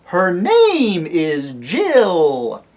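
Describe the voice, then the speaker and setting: A reader voicing a flustered owl courtier who hoots and shouts with strain, in a storybook reading. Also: mid-range voice, huge pitch swings, very slow, voice pushed up, full character voice. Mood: shouting, flustered.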